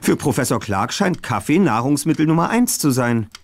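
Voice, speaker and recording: An actor playing a precocious, analytical boy detective in an adventure game, speaking precisely and matter-of-factly with a husky voice. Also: medium pitch, sing-song, normal speed. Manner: precise, articulate, matter-of-fact. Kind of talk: scripted game dialogue.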